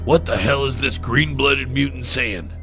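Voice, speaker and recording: A man playing an irritable, blunt old country doctor aboard a starship in a sci-fi parody audio drama, gravelly and exasperated. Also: medium pitch, a little slow, music in the background. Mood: indignant. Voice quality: gravelly, sharp. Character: cranky, excitable old male country ship's doctor.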